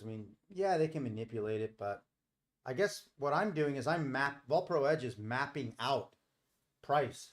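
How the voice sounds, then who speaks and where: A middle-aged man hosting a live stream, talking casually and explaining things in a rambling, informal way. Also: mid-range voice. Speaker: live stream host and trader.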